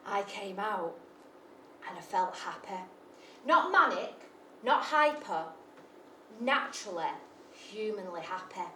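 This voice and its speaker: A woman's voice: mid-range voice, sing-song, slow, pauses often, pushed and bright, slight background noise. A woman performing a live comic monologue, brash, sweary and self-mocking, full of asides to the audience. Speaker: storyteller. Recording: echoey live venue from far off.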